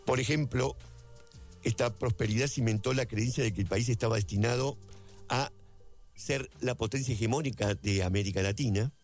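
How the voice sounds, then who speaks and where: A man's voice: mid-range voice, moderate pace, a little mellow. A man with an Argentine accent giving a reflective spoken essay, often repeating a phrase for emphasis. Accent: Argentine Spanish. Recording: faint music underneath.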